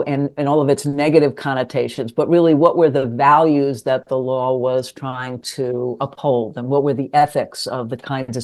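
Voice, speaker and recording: An older woman from New York City, a lawyer and writer, answering interview questions in a thoughtful, hesitant way with many pauses. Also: rumbling low, dark tone. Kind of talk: conversational interview.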